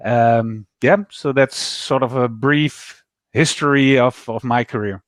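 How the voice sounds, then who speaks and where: A middle-aged man with a Dutch accent, speaking as an interview guest over a video call, measured with frequent pauses. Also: medium pitch, no echo, studio quality. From Netherlands. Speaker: guest, startup founder. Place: office.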